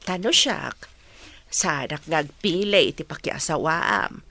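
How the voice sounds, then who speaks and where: A woman playing a caring, cautious mother who gently advises her grown daughter in a radio drama. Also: low voice, expressive, slow, creaky, dry recording, exaggerated voice. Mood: gentle advice.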